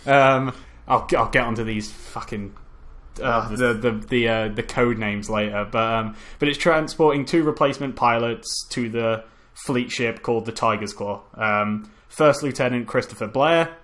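A man's voice: mid-range voice, moderate pace, a bit bright. A man co-hosting a film review podcast, chatting casually, rambling and swearing with frequent laughs. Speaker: podcast co-host.

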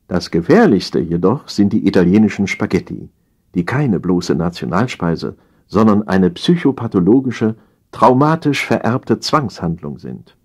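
A man's voice: on the low side, darkish tone, husky. A man narrating a satirical audiobook from a script, with a theatrical, dryly comic delivery.

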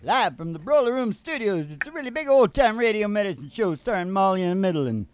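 A man's voice: high voice, sing-song, quick pace, clean tone, dry recording. An older man hosting a live country music show with rambling, folksy banter and a theatrical showman's manner, speaking into a stand microphone. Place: small curtained stage, indoors.

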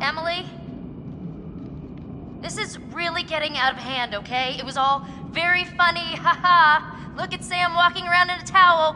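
An actress voicing a young woman alone in a dark lodge, sarcastic and exasperated. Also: very high voice, long reverb tail, background noise.

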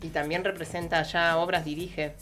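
A woman's voice: fairly low pitch, little pitch movement, normal speed. A woman with a Buenos Aires Argentine accent bantering casually as a radio co-host. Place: small radio studio. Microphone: close studio microphone. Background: music bed.

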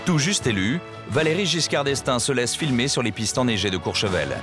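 A man reading scripted documentary narration in a measured storytelling way. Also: medium pitch, animated, music in the background.

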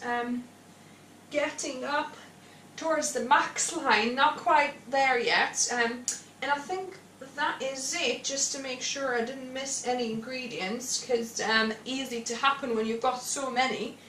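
A woman in her thirties talks through a kitchen demonstration in a casual, slow-paced way. The room rings noticeably around her voice, which sounds a little away from the microphone. She is the host, explaining each step as she goes. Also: high-pitched, fairly bright tone. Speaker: cooking show host. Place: indoor home kitchen. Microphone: clipped lapel microphone. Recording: echoey room, slightly distant, faint noise.

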